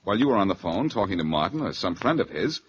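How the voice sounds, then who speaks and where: An actor playing a confident, wry detective who questions briskly, in a 1940s radio drama style. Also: male voice, deep voice, big pitch swings. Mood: probing, assured. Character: confident, wry amateur detective man, quick to banter. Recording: dull, noisy old radio recording.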